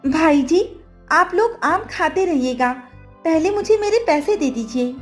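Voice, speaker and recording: A woman's voice: very high voice, animated, unhurried, soft background music, pitched above the performer's own voice. A woman voicing a young mango seller, an emphatic and dramatic daughter-in-law in a Hindi cartoon horror story. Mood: annoyed, demanding.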